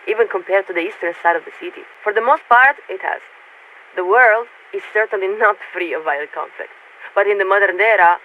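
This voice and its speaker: A woman voicing a composed, courteous warship officer in a video game, speaking formally and articulately through a radio filter. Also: on the low side. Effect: radio transmission filter. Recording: narrow tinny radio link with static.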